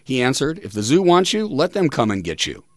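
A man hosting a radio talk show, reading aloud with pauses for emphasis in a studio, close to the microphone. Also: mid-range voice, wildly swooping pitch, dry recording. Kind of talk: reading listener tributes and quotes on a talk show.

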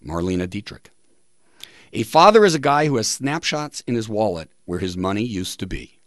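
Low-voiced male radio host, dry studio sound. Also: very animated, normal speed, no room sound. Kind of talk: reading listener tributes and quotes on a talk show.

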